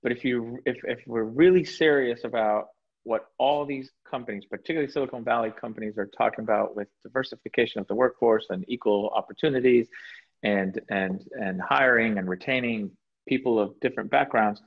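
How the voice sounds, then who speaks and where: A man's voice: medium pitch, fairly quick, lo-fi sound. A man from South Florida co-hosting a podcast, talking emphatically and casually over a video call from home. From South Florida, USA. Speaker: podcast co-host. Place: home room, indoors.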